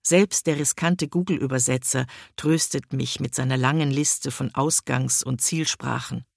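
Woman reader for a reflective first-person essay, measured pace, touch of vocal fry, dry booth. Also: a bass voice, steady tone, dry recording. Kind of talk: scripted essay reading.

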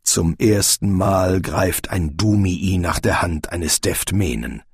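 Mann spielt steifen General mit tiefer Stimme. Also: male voice, sits low, breathy edge, no room sound, studio quality, voice pushed down, heavily acted. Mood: reserved. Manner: measured, terse, stiff. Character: stern, stiff, dignified male army general.

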